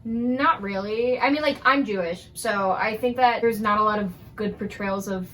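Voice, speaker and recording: A woman of twenty answering interview questions in a quick, sarcastic, joking way. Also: medium pitch, a bit bright, soft background music, poor audio.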